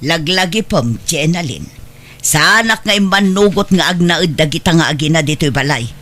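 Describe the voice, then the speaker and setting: A woman's voice: low-pitched, expressive, moderate pace, a bit bright. A woman playing a disapproving, aggrieved older mother with a plaintive, scolding manner and an Ilocano accent, in an audio drama.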